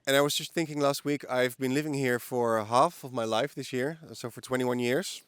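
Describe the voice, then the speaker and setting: A man's voice: mid-range voice, fairly even intonation, a little fast, smooth, dry recording. A man in his early forties with a Dutch accent, answering casually as a guest in an interview outdoors. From the Netherlands. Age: early 40s. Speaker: podcast guest. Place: outdoors in a park.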